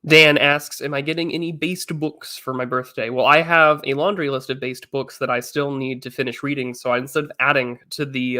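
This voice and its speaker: A man's voice: medium pitch, pushed and bright. A man in his twenties on a casual livestream, chatting and answering viewers, still a little congested. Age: 20s. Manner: chatty, reads chat messages aloud. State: slightly congested. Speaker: streamer.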